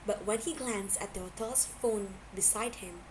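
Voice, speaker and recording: A woman narrating fan fiction as an amateur reader, stumbling now and then over the words, on a noisy home recording. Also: medium pitch. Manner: halting reading with stumbles.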